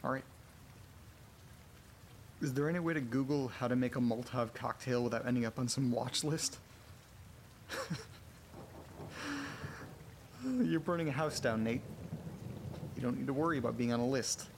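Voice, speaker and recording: An actor in a horror audio drama playing a weary, desperate man who records himself at home, muttering in halting, wry, sweary monologue. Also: mid-range voice, slight background noise, lightly acted. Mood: wry, nervous.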